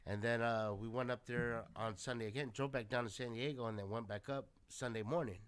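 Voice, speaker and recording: A man's voice: mid-range voice, steady tone, a little fast, smooth. A man with a chest cold chatting casually on a podcast, laid-back and swearing a lot. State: congested. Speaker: podcast co-host. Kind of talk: casual chat.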